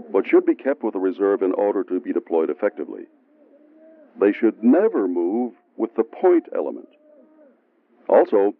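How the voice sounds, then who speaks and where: A man giving steady, measured scripted narration for a military training film. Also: on the low side. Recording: tinny phone-like sound from an old training film, faint music.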